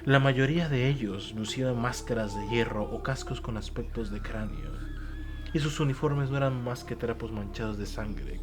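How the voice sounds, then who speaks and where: Theatrical male narrator over music. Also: mid-range voice. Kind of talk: dramatic scripted audiobook narration. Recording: music bed behind the voice.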